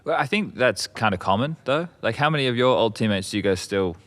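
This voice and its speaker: A man hosting a casual esports roundtable, asking players quick, teasing questions. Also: medium pitch, expressive, fairly bright tone. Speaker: host.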